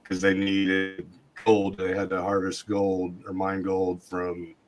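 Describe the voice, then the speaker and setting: A man hosting a podcast in casual chat, his voice hoarse and scratchy from a long night of talking. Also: low-pitched, completely flat delivery, slow. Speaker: podcast host.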